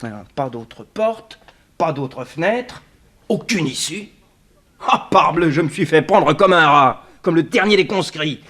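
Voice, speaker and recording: An actor playing a wry, resourceful detective held prisoner, in the theatrical, ironic style of a 1960s French radio serial. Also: male voice, on the high side, animated, unhurried, fairly bright tone. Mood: annoyed, exasperated. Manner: theatrical, ironic, muttering to himself.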